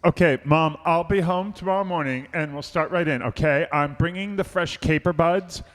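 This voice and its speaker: Echoing in a hall, a man acts out a fussy gourmet son for a comic radio skit. His voice is slightly high for a man, and his delivery is particular about every detail. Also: moderate pace, fairly bright tone, lightly acted. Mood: fussy, eager. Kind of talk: comic radio play acting. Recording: echoey hall with long reverb.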